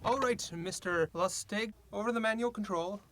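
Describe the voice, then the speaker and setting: A woman's voice: medium pitch, normal speed, fairly bright tone, close to an everyday voice. A woman playing a resolute spaceship captain in a radio science fiction drama, giving formal, clipped orders. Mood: commanding.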